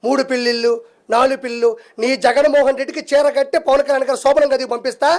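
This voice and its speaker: A man delivering a mocking political rant in a scornful, insulting, forceful manner. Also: very high voice, level tone, racing.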